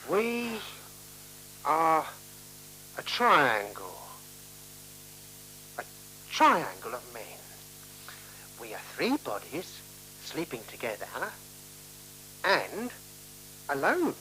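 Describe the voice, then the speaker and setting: An actor playing an earnest, anxiously precise man in a 1970s radio play, formal and deliberate. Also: on the high side, huge pitch swings, sharp tone, full character voice, low-quality recording. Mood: solemn, satisfied. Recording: hissy, humming 1970s radio recording.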